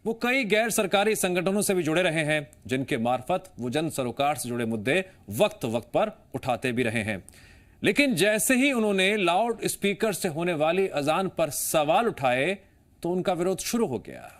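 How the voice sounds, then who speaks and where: Male news anchor, slightly high voice, scripted presentation. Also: animated, normal speed.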